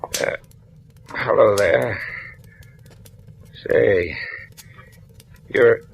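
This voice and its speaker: An actor playing an ailing older big-shot columnist in a radio drama, weak but sly, with a halting, breathy delivery. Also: male voice, fairly low pitch, full character voice, rough recording. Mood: genial, sly. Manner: halting, breathy, chuckling knowingly. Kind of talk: 1940s radio drama acting. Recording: hissy vintage radio recording.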